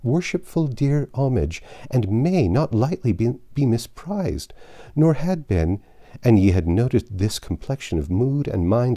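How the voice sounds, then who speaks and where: A man's voice: mid-range voice, mellow. A man as a wordy, lilting medieval damsel. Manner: archaic, flowery, long-winded. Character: earnest, humble young medieval damsel, wordy and deferential. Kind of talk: audiobook character dialogue.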